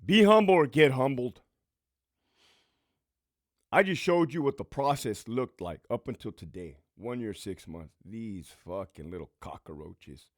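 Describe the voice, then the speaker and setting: A man's voice: medium pitch, expressive, unhurried, no echo, clean studio sound. A man hosting his own channel, a former prison officer talking in a brash, profane, streetwise way and laughing often. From California. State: losing his voice. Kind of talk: casual profane monologue, reading supporter names.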